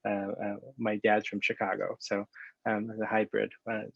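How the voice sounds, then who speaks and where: A man with a low, flat-toned voice leads an online panel, pausing often and restarting his sentences. The sound is dry and muffled, with the lo-fi dullness of a video call. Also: vocal fry, dry recording. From Dominican Republic. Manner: halting, many fillers and restarts. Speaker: panel moderator, magazine editor.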